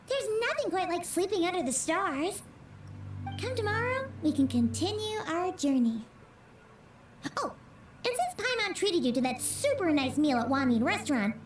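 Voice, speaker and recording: A woman's voice: very high voice, big pitch swings, moderate pace, distant mic. A woman voicing a tiny, chirpy fairy companion girl in a video game who talks about herself in the third person. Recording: game audio with music, roomy.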